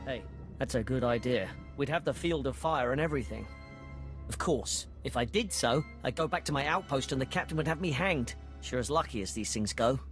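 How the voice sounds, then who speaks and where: An actor playing a sardonic army sergeant in a video game, reporting in a clipped military manner. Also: male voice, mid-range voice, expressive, fairly quick, a bit bright, faint music behind, natural voice. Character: crisp, sardonic male Imperial army sergeant.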